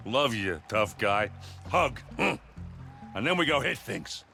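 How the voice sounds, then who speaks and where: A lovable tough-guy warrior for a cartoon game. His delivery is brash, punchy and jokey, with a forceful tone and lively swings in pitch. He comes across as goofy and affectionate. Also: slow pace, creaky, faint music behind, exaggerated voice. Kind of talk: comedic cartoon game acting.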